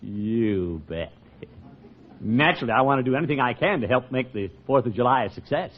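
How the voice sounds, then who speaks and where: A dull, old-time radio recording of a man playing a pompous, scheming middle-aged uncle. His delivery is blustery and theatrical, with lively swings in pitch and sly chuckles, in 1940s comedy style. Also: brisk, natural voice. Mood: ingratiating.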